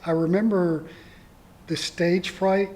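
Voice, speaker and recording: A man guest on a theatre podcast with a soft voice, speaking hesitantly with many fillers. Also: high-pitched, fairly even intonation, slow pace, slight background noise. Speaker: guest, community theatre actor. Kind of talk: casual interview.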